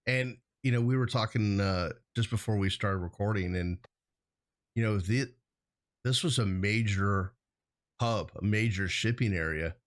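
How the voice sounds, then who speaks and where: A man's voice: lowish voice, a little slow. An American man co-hosting a discussion show in a casual, conversational style. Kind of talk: casual chat.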